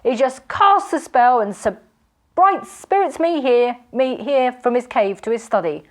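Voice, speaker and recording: A woman's voice: high-pitched, very animated, a little fast, voice pushed up. A woman voicing a boisterous, blustering male robber with hearty laughter in a storybook reading. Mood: jovial.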